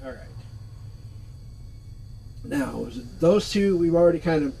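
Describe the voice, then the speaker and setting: A man casually commenting as he plays an old computer game, relaxed and thinking aloud. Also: fairly high pitch, a little slow, gravelly, slight background noise, rough recording. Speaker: gaming channel host. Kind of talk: casual let's-play commentary.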